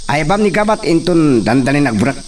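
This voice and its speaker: Bossy, excitable, wildly varied voice. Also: male voice, fairly high pitch, fairly quick, far from the mic, a little hiss or hum. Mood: grumbling, bossy. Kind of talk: radio drama acting.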